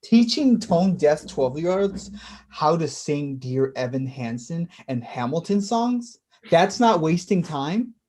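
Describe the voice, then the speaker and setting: Woman as husky, insistent younger brother. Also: low voice, very animated, slow, dark tone, heavily acted. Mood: insistent, challenging. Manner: pressing, direct. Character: insistent, needling younger brother, college-graduate age. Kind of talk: naturalistic staged play reading.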